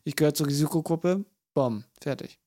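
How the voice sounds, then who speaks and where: High-pitched man chatting casually. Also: moderate pace, dark tone. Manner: slangy, colloquial, repeats himself. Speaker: podcast co-host. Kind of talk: casual chat, telling an anecdote.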